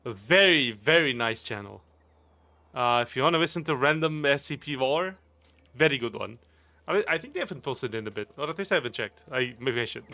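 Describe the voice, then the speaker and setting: Bright-voiced Portuguese man chatting on stream. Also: mid-range voice, animated, fairly quick, no room sound. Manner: rambling, chuckles often, talks to chat. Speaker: game streamer. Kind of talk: casual live-stream chat.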